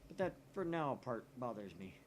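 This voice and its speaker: A man voicing a paranoid, theatrical young male mad scientist from a visual novel, excitable and suspicious. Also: mid-range voice, moderate pace, a little hiss or hum, lightly acted. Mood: defensive. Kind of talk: visual novel read aloud in character.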